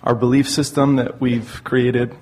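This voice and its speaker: A man asking an earnest personal question as an audience member, speaking in a hesitant, halting way. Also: mid-range voice, flat delivery, a little mellow, a little hiss or hum.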